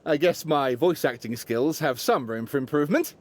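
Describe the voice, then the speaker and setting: A man's voice: a little high, animated, normal speed. A man voicing a sly, playful narrator character in a video game, with a theatrical, self-deprecating way of talking. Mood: wry confession.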